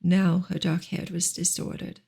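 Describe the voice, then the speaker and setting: A woman reading a novel softly in ASMR style, gentle and unhurried, often slipping into a whisper. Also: lowish voice, little pitch movement. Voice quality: soft, breathy, often dropping into a whisper. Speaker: ASMR reader and streamer.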